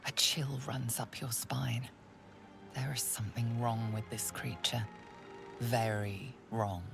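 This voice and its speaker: A woman playing an ominous, knowing storyteller, giving measured, hushed, dramatic narration for a video game. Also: a bass voice, little pitch movement, exaggerated voice. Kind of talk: dramatic second-person scripted narration. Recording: game narration over clear music.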